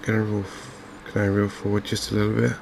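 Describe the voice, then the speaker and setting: Soft spoken gamer guy, deep gravelly voice, slow musing asmr commentary, noticeable noise behind him. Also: completely flat delivery. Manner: unhurried, hesitant, musing to himself. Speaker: gaming video host.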